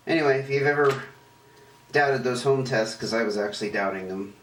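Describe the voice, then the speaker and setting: A hoarse man talks his way through a casual tarot reading, rambling and correcting himself as he goes. His roughened voice sounds a little distant from the mic in a roomy, echoing space, with a faint hiss underneath. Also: medium pitch, steady tone, normal speed, a bit bright. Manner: chatty, rambling, self-correcting. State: hoarse, voice rough and deeper from illness. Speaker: tarot reader and channel host.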